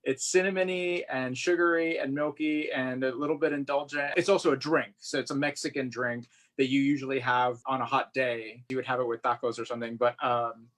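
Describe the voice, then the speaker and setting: A man's voice: mid-range voice, fairly even intonation, brisk, hardly any pauses, a bit bright. A man, a guest illustrator, chatting casually and enthusiastically, thinking aloud as he demonstrates drawing. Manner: enthusiastic, informal, thinking aloud while working. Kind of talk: casual art demonstration and chat. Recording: roomy echo, away from mic.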